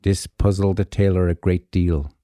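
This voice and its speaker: A man narrating a folk tale as a storyteller, measured and theatrical, with deliberate pauses. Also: sits low, flat delivery, dark tone, dry recording, studio quality. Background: music under the voice.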